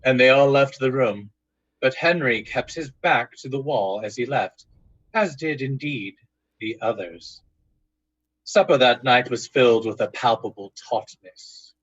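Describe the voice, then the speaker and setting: A man narrating a ghost story in old-time radio style, with deliberate, suspenseful storytelling. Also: medium pitch, fairly bright tone, no echo, lo-fi sound.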